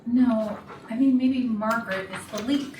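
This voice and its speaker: A woman's voice: fairly high pitch, a little slow, a little mellow, natural voice. A woman acting a flirty, catty, self-satisfied HR manager in an arch, sarcastic way at a comedy staged reading. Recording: noisy roomy rehearsal, far from mic.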